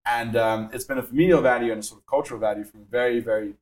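South African man, young, founder being interviewed, steady explainer, mid-pitch voice. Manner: explanatory, measured, with frequent fillers. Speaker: startup founder. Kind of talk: podcast interview.

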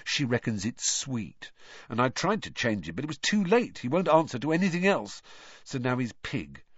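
A man voicing a sarcastic, grumbling teenage boy in an audiobook, his voice only a little higher than his own. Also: big pitch swings, normal speed, breathy edge, no room sound. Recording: dry, slightly dull studio sound.

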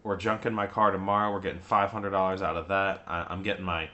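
A man's voice: low-pitched, flat delivery, fast talker, sharp tone, dull audio. A young man co-hosting a casual podcast, telling stories in a rambling way and laughing often. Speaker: podcast co-host. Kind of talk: casual chat.

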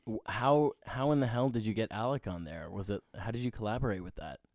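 A man's voice: lowish voice, a little fast, a little mellow, dry recording, studio quality. A man hosting a podcast with a wry, sarcastic, theatrical manner. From Nebraska, US. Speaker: podcast host. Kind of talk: playful show intro and casual interview.

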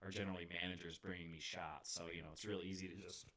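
A man's voice: deep voice, flat delivery, rapid-fire, a crowd in the background. A man in his forties, a DJ, chatting casually among friends. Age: 40s. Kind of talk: casual chat.

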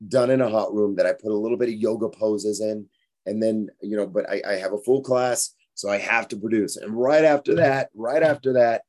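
A hoarse, tired man in his forties, hosting a fitness podcast in a frank, rambling motivational monologue. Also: lowish voice, dark tone. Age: 40s. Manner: rambling, frank, repeats himself.

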